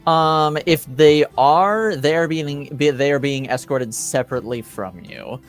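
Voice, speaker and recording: A man narrating a tabletop fantasy game as game master, improvising in a halting, hesitant way. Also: a little high, faint music behind.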